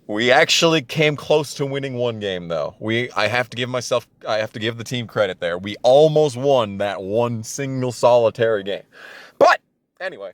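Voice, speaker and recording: A man's voice: mid-range voice, animated, moderate pace, dry recording. A man in his twenties telling a personal story casually and comedically, with profane, exasperated ranting and emphatic repetition. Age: 20s. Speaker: storyteller on a gaming channel.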